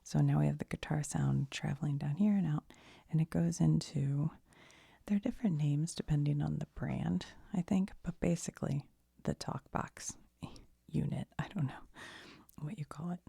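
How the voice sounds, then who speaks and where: A woman speaks very softly in a low, heavily creaky voice with a breathy, husky edge. She rambles conversationally like an ASMR host, haltingly and with self-deprecating asides. The sound is close and dry. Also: dry recording. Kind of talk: soft-spoken ASMR, rambling casual explanation.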